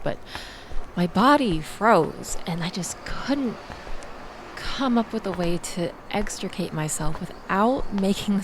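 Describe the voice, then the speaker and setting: A woman playing a shaken, chatty young woman in an intimate audio roleplay, rambling with wry asides and breathy sighs as she confides in the listener. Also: medium pitch, slight background noise, close to an everyday voice. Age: young adult. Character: shaken, chatty young woman escaping a bad date, self-deprecating and grateful.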